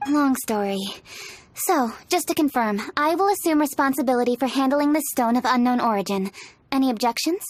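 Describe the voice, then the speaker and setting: An actress playing a serious, capable young female official in a video game, crisp and businesslike. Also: sits high, animated, fairly quick, a little hiss or hum.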